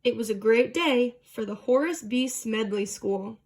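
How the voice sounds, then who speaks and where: A woman's voice: fairly high pitch, measured pace, darkish tone. A woman reading a children's storybook aloud as a narrator.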